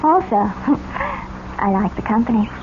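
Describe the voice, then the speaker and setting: Casting: actress as taunting sixteen-year-old girl, radio drama, very animated, thin noisy vintage broadcast sound. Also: medium pitch, normal speed, phone-quality sound, lightly acted. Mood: smug, taunting. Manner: teasing, insolent, giggling. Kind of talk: 1970s radio drama acting.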